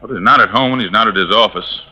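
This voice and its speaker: An actor playing a plainspoken, suspicious American police lieutenant in a 1950s radio drama, firm and folksy in manner. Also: male voice, lowish voice, fast, lo-fi sound, close to an everyday voice. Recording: old-time radio recording.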